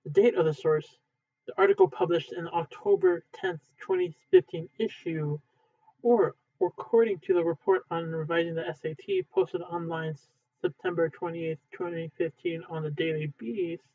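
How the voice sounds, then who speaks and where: A man reading a textbook aloud as an amateur, going phrase by phrase in a halting way with occasional stumbles. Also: fairly high pitch, fairly even intonation. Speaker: amateur reader, channel owner. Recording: muffled, phone-like narrowband sound.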